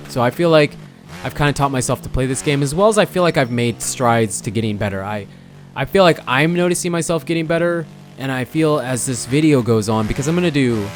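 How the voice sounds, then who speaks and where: A young man with a hoarse, fading voice, chatting casually as he comments on his own gameplay, often stopping to correct himself. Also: medium pitch. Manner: rambling, self-correcting, chatty. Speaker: gaming channel host.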